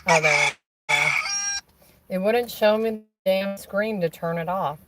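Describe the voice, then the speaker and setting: Hoarse woman chatting on a stream. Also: medium pitch, a little slow, heavy background noise. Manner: rambling, full of fillers and restarts. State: hoarse, raspy throat. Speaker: live-stream host. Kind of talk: casual chat on a phone call during a stream.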